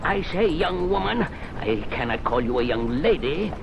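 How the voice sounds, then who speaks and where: An actor playing a blustering, suspicious colonial house buyer in a radio mystery drama, with a scolding, folksy, sly manner. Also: male voice, on the high side, sing-song, moderate pace, creaky, highs cut off, crowd noise. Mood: accusing. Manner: scolding, folksy, sly, rustic old-fashioned grammar. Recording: noisy old radio recording.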